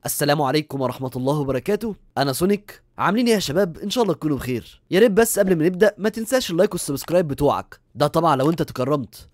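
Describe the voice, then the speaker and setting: Very fast-talking Egyptian man, dry recording. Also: medium pitch, dry recording. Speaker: YouTube tutorial host. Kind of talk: casual explanatory tutorial voice-over.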